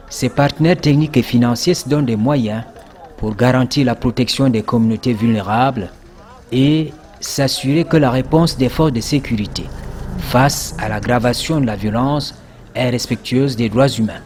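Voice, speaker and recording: A man's voice: mid-range voice, a little mellow, a little hiss or hum. A man narrating a scripted news report as a reporter.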